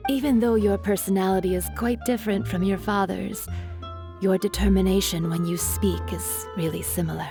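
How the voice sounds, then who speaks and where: An actress playing a gentle, grateful mother, softly spoken and polite, in a video game cutscene. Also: medium pitch, fairly even intonation, normal speed, music in the background, lightly acted. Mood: tender, grateful.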